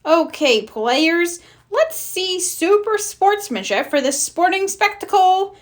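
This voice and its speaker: A woman's voice: extremely high pitch, sing-song, takes it slowly, pitched above the performer's own voice, exaggerated voice. A woman voicing a jolly male sea captain refereeing in a children's storybook, with emphatic, showy announcing. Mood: hearty.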